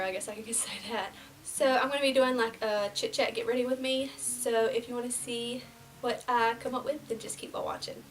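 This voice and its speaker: A woman's voice: on the high side, recorded from a distance, poor audio. Chatty young woman, slightly nasal. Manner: casual and rambling, drops to near-whispers while applying makeup. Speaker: beauty vlogger. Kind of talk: chatty get-ready-with-me vlog. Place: small indoor room before a plain backdrop.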